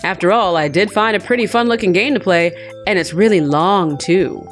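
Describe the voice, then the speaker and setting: A woman's voice: mid-range voice, normal speed, faint music behind, natural voice. A woman voicing a cute, dreamy young mermaid girl in a visual novel, chirpy and girlish. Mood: chatty, cheerful.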